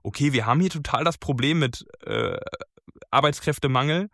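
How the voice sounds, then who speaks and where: A man's voice: mid-range voice, moderate pace, vocal fry, fairly bright tone, no echo, clean studio sound. A man hosting a casual conversation, talking hesitantly and searching for his words. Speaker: host.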